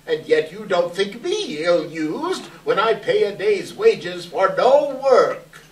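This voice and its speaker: A man's voice: high voice, very animated, slow, full character voice. A man playing a cold, miserly, grumbling old businessman in a stage reading, with a curt, sneering delivery. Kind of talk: readers theater stage reading. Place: live indoor readers theater event. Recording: distant mic in echoey room, hiss.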